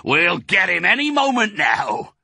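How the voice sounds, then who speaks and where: A man voicing a fat, grumpy, frenzied farmer in a storybook, shouting excitably. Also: sits high, a little slow, vocal fry, breathy edge, no echo, studio quality, pitched above the performer's own voice, exaggerated voice. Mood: eager.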